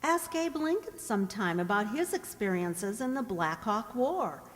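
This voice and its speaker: A woman's voice: medium pitch, expressive, a little slow, lightly acted. A woman playing a bookish, principled young frontier woman, an earnest and instructive elder sister in a radio play. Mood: firm, earnest. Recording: reverberant hall-like room.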